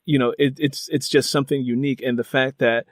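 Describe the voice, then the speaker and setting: A man hosting an interview, thinking aloud in a conversational way with halting, repeated phrases as he builds his questions. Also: medium pitch, steady tone, no echo, studio quality. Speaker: interviewer, host.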